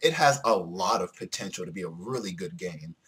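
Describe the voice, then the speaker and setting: A man's voice: deep voice, lively intonation, fairly quick, fairly bright tone, breathy edge. A man giving casual let's play commentary, rambling and repeating himself as he talks to his viewers. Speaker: video game streamer.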